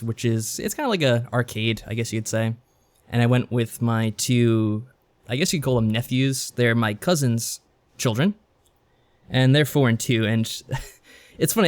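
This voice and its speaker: Male American podcast co-host, average pitch, relaxed casual talk, dry sarcastic delivery. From United States. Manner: laid-back, dryly sarcastic.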